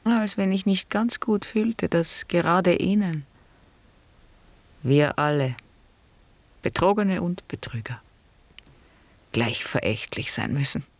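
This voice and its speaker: Husky, slow, world-weary wife. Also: female voice, lowish voice, no room sound. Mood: troubled. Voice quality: husky, breathy. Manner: hushed, deliberate, grave. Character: weary, reflective married woman with guilty past. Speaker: actor. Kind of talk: German radio drama acting.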